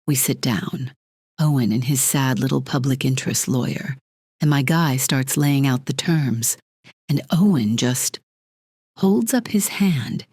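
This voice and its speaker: An actress playing a cold, controlling corporate executive in an audio drama, speaking in a clipped, deliberate, imperious way with a breathy edge. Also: female voice, very deep voice, a little mellow, no room sound, studio quality, exaggerated voice. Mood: unsettled, analytical. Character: cold, controlling female corporate executive, divorced, armor cracking.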